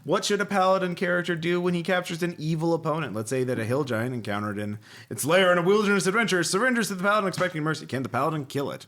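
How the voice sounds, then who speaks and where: Wry man, lively swooping delivery. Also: on the high side, racing. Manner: wry, joking. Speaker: podcast host. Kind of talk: casual chat and reading aloud from a magazine.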